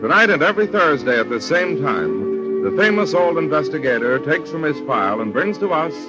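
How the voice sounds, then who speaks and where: A man's voice: a little high, sing-song, fairly quick, highs cut off. A man with an American accent announcing from a script in vintage radio style. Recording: old-time radio recording with music.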